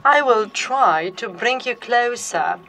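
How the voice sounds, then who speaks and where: Lady interpreter talking over a conference panel live, measured pace, some restarts. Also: fairly high pitch, expressive, sharp tone. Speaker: simultaneous interpreter.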